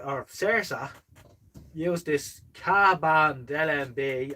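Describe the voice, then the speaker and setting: A man with a husky, somewhat high voice hosts a live stream. He chats casually to viewers in a rambling, jokey way, happy to poke fun at himself. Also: a bit bright. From born in the Netherlands, lives in Ireland. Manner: rambling, jokey, self-deprecating.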